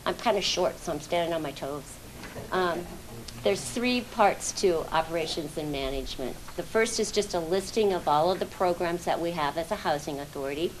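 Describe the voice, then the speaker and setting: Lower-voiced woman presenting at a meeting. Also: normal speed, slight background noise, poor audio. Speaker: housing authority staff presenter.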